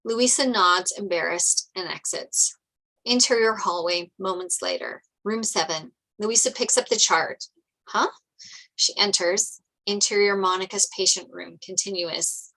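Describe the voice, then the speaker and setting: Woman narrating stage directions, medium pitch. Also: a little slow, gravelly, pushed and bright, rough recording. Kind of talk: scripted narration.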